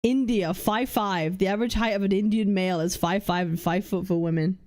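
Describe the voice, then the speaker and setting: A young woman hosting a casual livestream, reading chat and lists aloud, blunt and swearing freely as she thinks out loud. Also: medium pitch, fairly even intonation, a little fast. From United Kingdom. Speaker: livestream host.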